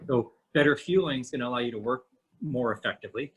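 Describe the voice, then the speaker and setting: A man's voice: medium pitch, fast, breathy edge, rough recording. A man in his fifties, a coach talking as a podcast guest in a casual, emphatic way, often asking rhetorical questions. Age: 50s.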